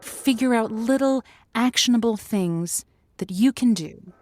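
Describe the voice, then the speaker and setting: A woman's voice: a little high, a little slow. A woman playing an earnest, encouraging radio advice host in chatty, improvised talk. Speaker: host of a call-in advice show.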